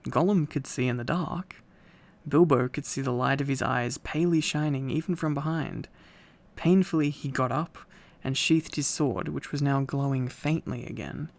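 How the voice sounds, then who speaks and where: A man reads a story aloud as narrator, in a relaxed bedtime style. His voice sits at a middle pitch with plenty of gravelly fry in it. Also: moderate pace.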